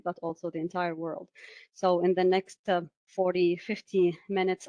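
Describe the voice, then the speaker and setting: A woman in her forties, from Syria, presenting a webinar in a measured way over a video call, with frequent "uh" and "um" fillers. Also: on the low side, a little mellow, no room sound. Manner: measured, many "uh" and "um" fillers, asks for next slide.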